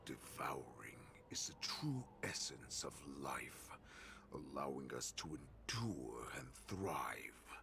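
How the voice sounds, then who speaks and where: An actor playing an ancient captive beast warlord in a video game, menacing and grimly mocking, with a slow, theatrical delivery. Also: male voice, very deep voice, very animated, creaky, background noise, heavily acted. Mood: reverent menace. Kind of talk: dramatic video game voice acting.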